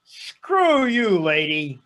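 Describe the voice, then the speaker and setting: Man acting a high-voiced pompous angry passenger. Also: very animated, extremely slow, fairly bright tone, heavily acted. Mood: demanding anger. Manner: pompous, demanding. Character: angry, self-important male airline passenger. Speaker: amateur stage actor. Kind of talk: comedy sketch acting.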